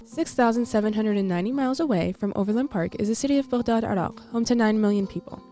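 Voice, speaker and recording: A woman's voice: on the high side, quick pace, warm tone. A young woman, reading a scripted news feature as a student reporter. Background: faint music.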